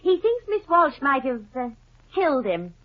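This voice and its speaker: Plucky high-voiced girl sidekick, old radio. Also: very animated, moderate pace. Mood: evasive. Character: plucky young female sidekick of a detective. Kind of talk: 1950s radio drama acting. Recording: muffled vintage 1950s radio recording.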